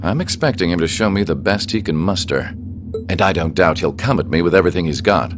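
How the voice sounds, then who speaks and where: A cultured young aristocrat commander in a game. His low voice is composed and refined, with sly confidence, over clear background music. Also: lively intonation, fairly quick. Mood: proud, confident. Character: cool, cultured young noble strategist, slyly confident. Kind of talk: dramatic video game cutscene acting.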